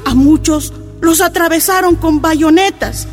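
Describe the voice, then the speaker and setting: An actress playing a mother from a mining camp in a radio drama, giving grave, halting testimony. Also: very high voice, soft background music. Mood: grieving.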